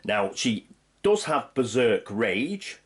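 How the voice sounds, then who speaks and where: A man's voice: mid-range voice, very animated, takes it slowly. A man with a heavy cold and a congested voice, hosting his channel and talking through a board game turn by turn, explaining each rule as he goes. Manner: chatty, explains rules step by step. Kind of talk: playthrough commentary of a board game.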